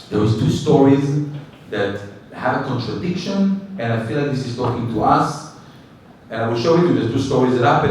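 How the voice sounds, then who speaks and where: A man's voice: mid-range voice, a little hiss or hum. Man teaching Torah in an echoey hall. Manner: animated, jokes with audience, breaks into short chanted tunes. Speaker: rabbi giving a weekly class. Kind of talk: informal Torah class. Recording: echoey hall, mic from a distance.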